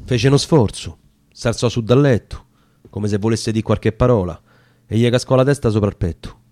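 A man's voice: fairly low pitch, normal speed, darkish tone, dry recording. A man with a Roman accent giving a solemn, theatrical recitation of narrative dialect poetry. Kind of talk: dramatic recitation of narrative verse.

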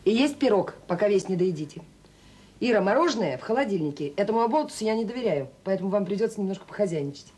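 An actress playing a devoted, gently bossy middle-aged mother in a naturalistic radio drama. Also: medium pitch, animated, fast talker, slightly rough. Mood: evasive, brisk.

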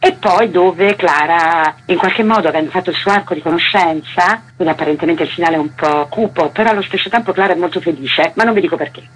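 A woman with a husky voice, speaking as a theatre director in a conversational radio interview. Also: lowish voice, fast talker, hardly any pauses, rough recording.

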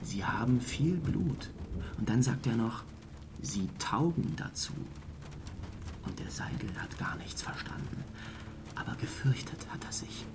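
An actor playing an ominous storyteller who relates an unsettling encounter in a hushed, intimate, halting manner. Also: medium pitch, animated, in a whisper. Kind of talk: intimate radio drama storytelling.

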